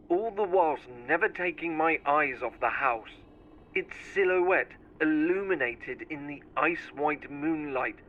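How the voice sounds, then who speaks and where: A man narrating a scripted first-person horror story in a deliberate, theatrical storytelling manner. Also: on the high side, highs cut off.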